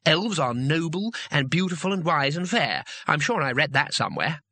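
A man's voice: fairly high pitch, animated, moderate pace, sharp tone, dry recording, exaggerated voice. A man voicing a naive, earnest male traveller in a fantasy story, a little defensive in manner.

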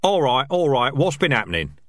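A man voicing a brisk male rat leader of a squad in an audiobook reading. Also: medium pitch, very animated, a bit bright, no echo, studio quality, voice pushed up. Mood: grave.

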